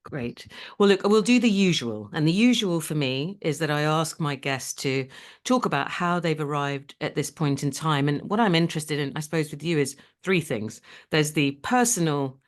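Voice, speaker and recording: A podcast host, a woman with a notably low voice. She asks questions in a chatty, animated way. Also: moderate pace. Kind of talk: podcast interview.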